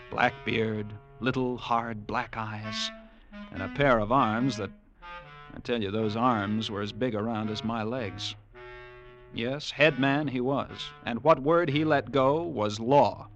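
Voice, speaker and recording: Lighthouse keeper, mid-range voice, measured vivid dramatic narration, classic radio play, clear musical underscore. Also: male voice, medium pitch, dull audio. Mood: wry. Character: lighthouse keeper recalling a tense sea tale.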